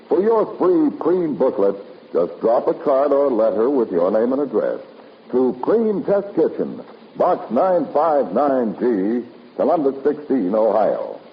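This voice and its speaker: A man announcing a 1950s radio commercial with a polished, emphatic sales delivery. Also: mid-range voice. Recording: muffled vintage radio recording with noise.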